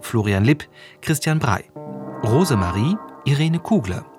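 A male announcer reads scripted radio drama titles and credits. His voice sits at a medium pitch, with music clearly playing behind it. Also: sing-song, measured pace.

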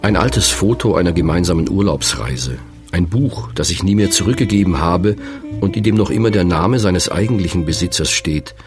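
A man in his fifties narrating a radio feature in a slow, musing, reflective way. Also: deep voice, animated, over music. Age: 50s.

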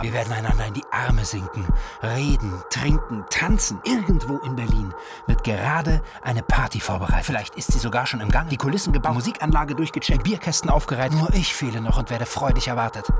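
A man's voice: medium pitch, natural voice. A male actor playing a manic, paranoid writer in psychotic breakdown, narrating a literary radio-play monologue with feverish intensity. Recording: loud music under the voice. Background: prominent music.

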